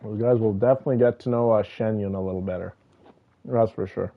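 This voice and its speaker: A man's voice: lowish voice, fairly even intonation, quick pace, creaky, slight background noise. A man hosting a casual livestream, chatting familiarly with viewers as if they were friends. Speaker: livestream host. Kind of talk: casual livestream chat, reading names and greeting viewers. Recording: dull lo-fi audio with music behind. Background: background music.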